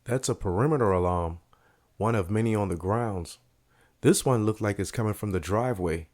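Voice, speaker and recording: Actor as a low-voiced direct young heir, plain and determined. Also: male voice, normal speed, a little mellow, natural voice. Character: determined young male heir caught in intrigue.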